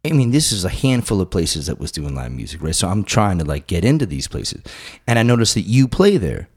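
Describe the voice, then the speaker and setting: Host, male, middle-aged, low-voiced, rambling and profane, casual chat. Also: big pitch swings, fairly quick, a little mellow, slightly rough. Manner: loose, profane, rambling storyteller. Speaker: podcast host.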